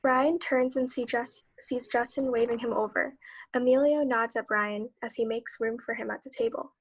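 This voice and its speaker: A woman's voice: a little high, steady tone, normal speed, breathy edge, poor audio. A woman reading a children's picture book aloud. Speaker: read-aloud reader.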